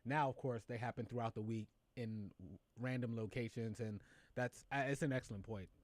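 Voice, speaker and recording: A man hosting a casual chat show about news and culture, animated, laughing often and stumbling over his words when excited. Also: mid-range voice, moderate pace, no room sound. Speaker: show host.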